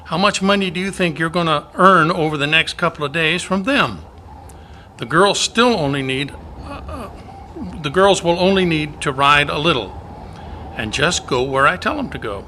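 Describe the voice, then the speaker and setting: A man's voice: a little high, animated, normal speed, sharp tone, a little hiss or hum. A man playing a harried, pushy cattle-drive cowboy with folksy Old West speech in an amateur radio play. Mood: urgent, commanding.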